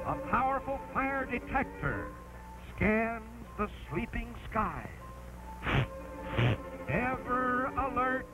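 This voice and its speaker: High-pitched man, theatrical storybook narrator. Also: rough recording. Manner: theatrical, emphatic. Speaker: narrator, live stream host. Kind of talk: dramatic reading, old-fashioned children's adventure narration. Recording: music under the narration.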